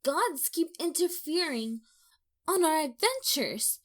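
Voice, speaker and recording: A woman playing a young traveling bard in a fantasy audio drama, earnest, expressive and easily exasperated. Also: high voice, takes it slowly, exaggerated voice. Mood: reflective. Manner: expressive, indignant when provoked.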